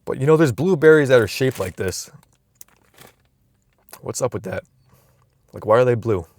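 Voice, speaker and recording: A man's voice: mid-range voice, expressive, normal speed, dry recording. A young man vlogging from inside a car, musing aloud in a loose, rambling way with frequent restarts, recorded on a phone camera. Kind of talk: casual rambling vlog.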